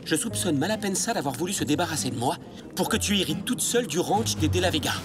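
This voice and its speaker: An actor playing a dashing young masked hero in a cartoon, self-assured and teasing, with a playful theatrical manner. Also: male voice, fairly high pitch, lively intonation, fast talker, a crowd in the background, natural voice. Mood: relieved, suspicious. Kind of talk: animated cartoon dub.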